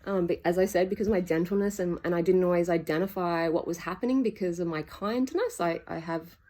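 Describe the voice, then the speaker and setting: Earnest woman musing in a low voice. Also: a little fast, darkish tone. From Solomon Islands. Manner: earnest, meandering, searching for words. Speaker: spiritual healing channel host. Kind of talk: reflective solo monologue. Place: outdoors at dusk.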